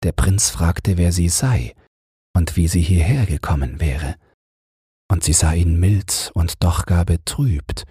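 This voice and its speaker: A man reading a fairy tale as a bedtime audiobook in a measured, soothing storytelling manner. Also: sits low, dark tone, slightly rough, no echo, clean studio sound. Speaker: audiobook narrator.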